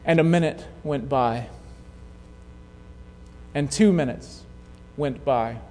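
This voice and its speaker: A man preaching a sermon, telling a story in a measured way that drops to a hush at key moments. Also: medium pitch, faint music behind. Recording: long hall reverb.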